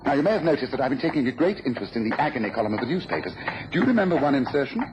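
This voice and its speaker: Guy acting a sharp british detective in old radio play, bossy and precise, lively pitch, muffled noisy recording. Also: natural voice. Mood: confident, explanatory. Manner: brisk, precise, commanding, explanatory. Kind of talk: mid-century British radio drama acting.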